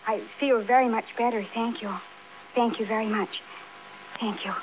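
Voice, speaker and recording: An actress playing a dazed, tipsy new widow, hesitant, childlike and over-polite in a 1950s radio drama. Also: female voice, fairly high pitch, steady tone, moderate pace, lightly acted. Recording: muffled vintage radio, faint noise.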